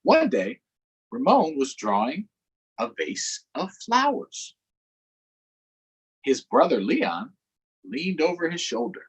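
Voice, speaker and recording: A man hosting a storytime for children, reading aloud and chatting directly to young viewers in an expressive way. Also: on the high side, slow pace, creaky, long pauses, slightly rough, low-quality recording. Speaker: storytime host, councilmember. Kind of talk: children's read-aloud and live chat.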